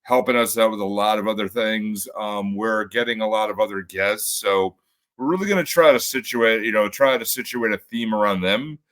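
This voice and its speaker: A man with a bassy voice, a podcast guest in casual conversation, halting with many fillers and restarts. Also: fairly bright tone.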